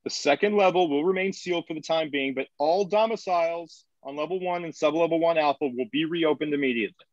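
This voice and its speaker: Guy doing earnest colony boss in a drama read, measured, dry and a bit muffled. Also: fairly high pitch, no echo, close to an everyday voice. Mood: placating. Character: earnest male colony leader trying to keep control. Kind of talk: screenplay table read.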